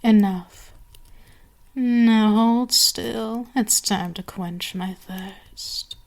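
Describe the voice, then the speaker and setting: A woman playing a cruel, seductive vampire queen in an ASMR roleplay, speaking haughtily and deliberately, with lingering pauses and breathy whispers. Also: medium pitch. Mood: seductive commanding.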